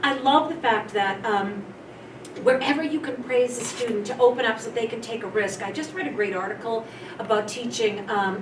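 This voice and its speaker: A woman drama teacher leading a hands-on workshop, coaching and demonstrating in a theatrical, animated way. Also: on the high side. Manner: theatrical, animated, demonstrates lines in exaggerated delivery. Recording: echoey room, distant mic, noisy background.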